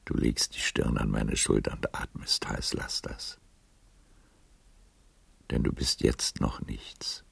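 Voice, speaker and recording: An actor playing a weary, resigned man over seventy in a literary radio play, speaking haltingly and poetically with a worn voice. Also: flat delivery, fairly bright tone, dry recording, exaggerated voice. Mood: resigned, melancholy. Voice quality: very deep, gravelly, worn voice. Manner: slow, halting, poetic delivery.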